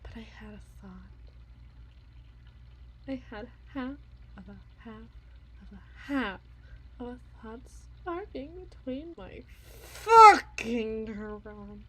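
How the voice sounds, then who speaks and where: A creepy obsessive wife, high breathy voice, talks real slow and halting, sweet but menacing, asmr drama. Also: female voice, expressive, heavily acted, poor audio. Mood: hushed, accusing. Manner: theatrical, halting, drawn-out repetitions, swinging from hushed to intense. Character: unhinged, obsessive yandere wife, eerily tender and menacing. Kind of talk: ASMR roleplay, dramatic monologue.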